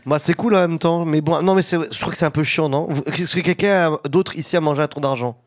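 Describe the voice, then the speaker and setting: A man's voice: fairly high pitch, fast talker. A man chatting as a guest, stumbling and restarting his sentences and laughing often. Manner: hesitant, stammering, laughing. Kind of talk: casual chat.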